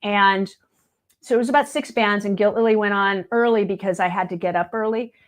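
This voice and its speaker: A woman's voice: medium pitch, fairly quick. Chatty woman in her late fifties. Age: late 50s. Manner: chatty, anecdotal, acts out quoted dialogue. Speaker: guest, singer and video editor. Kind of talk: casual chat, storytelling.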